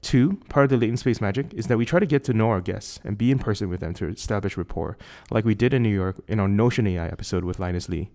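A man with a Singaporean accent hosting a tech podcast, reading a measured, articulate prepared introduction. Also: darkish tone. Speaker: podcast host. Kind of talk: scripted intro then casual interview. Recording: lower quality recording outside a studio.

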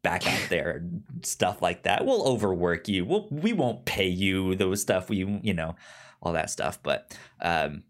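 A man in casual film discussion, stammering on syllables and often halting to rephrase as he goes. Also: low voice, big pitch swings. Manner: halting, rambling, circles back to rephrase. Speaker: film podcast host.

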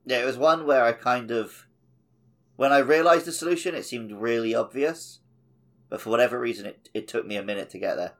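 A man's voice: medium pitch, brisk, a bit bright. A man co-hosting a casual video game podcast, chatting over an internet voice call. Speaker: podcast co-host.

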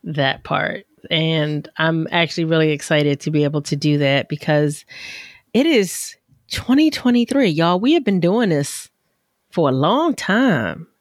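Low-voiced upbeat woman podcast host. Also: measured pace, no room sound, clean studio sound. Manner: upbeat, lively and conversational. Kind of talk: casual podcast chat.

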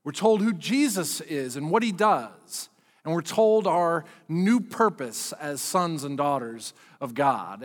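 A man's voice: a little high, big-room sound. A middle-aged man preaching a sermon as pastor, candid and self-deprecating in a conversational way.

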